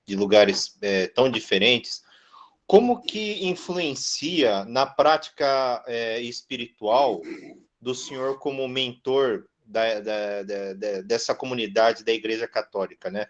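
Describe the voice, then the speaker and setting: A man with a mid-pitched voice asks a priest long questions. He speaks slowly and haltingly, leaning on fillers as he searches for words. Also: muffled recording. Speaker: co-interviewer. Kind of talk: interview questions.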